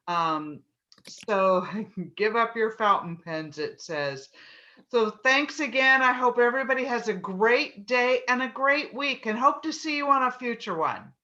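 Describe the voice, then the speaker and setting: A woman's voice: medium pitch, bright, forceful tone. A woman hosting an event, giving upbeat closing remarks over a video call. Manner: upbeat and friendly. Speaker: event host. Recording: dull lo-fi video call audio.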